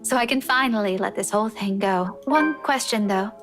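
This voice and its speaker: A woman's voice: mid-range voice, normal speed, over music, natural voice. An actress playing a candid, teasing, caring female friend in a visual novel game. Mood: apologetic, earnest. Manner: frank, dryly teasing.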